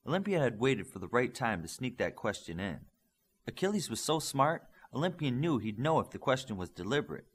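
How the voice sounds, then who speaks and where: Husky man narrating a story, animated pitch. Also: fairly quick. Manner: expressive reading of superhero story. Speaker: audiobook narrator. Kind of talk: scripted fiction narration with voiced dialogue.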